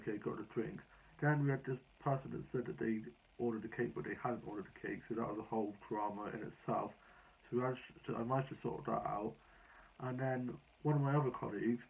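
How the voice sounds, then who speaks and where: A young British man talks to his vlog audience in a loose, anecdotal way. His voice is slightly hoarse and husky, with little rise and fall in pitch. The recording sounds dull and lo-fi. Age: young adult. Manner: rambling, anecdotal. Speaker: vlogger. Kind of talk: casual personal vlog chat.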